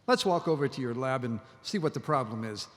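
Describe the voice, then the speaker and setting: Man as shrewd military colonel, measured, formal, courteous, audio drama, hall reverb. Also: medium pitch, lively intonation, natural voice. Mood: placating. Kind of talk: live audio drama acting. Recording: echoey live hall.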